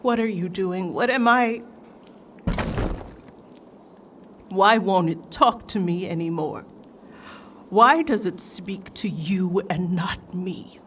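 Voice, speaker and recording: A woman's voice: fairly low pitch, slow pace, fairly bright tone, distant mic, a little hiss or hum. A woman playing a troubled, isolated warlock leader in a fan audio drama, emotional and pleading. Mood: hurt, pleading.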